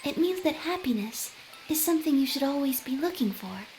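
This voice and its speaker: An actress playing a gentle, earnest young woman in a video game, wistful and inquisitive. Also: sits high, expressive, fairly quick, a little hiss or hum, poor audio. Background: game music.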